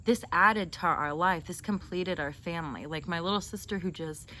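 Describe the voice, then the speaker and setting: A young woman chatting casually and telling stories in an animated, teasing, joking way. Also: lowish voice, moderate pace. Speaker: host interviewing a sibling. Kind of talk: casual chat and storytelling. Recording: noisy, with clear background noise.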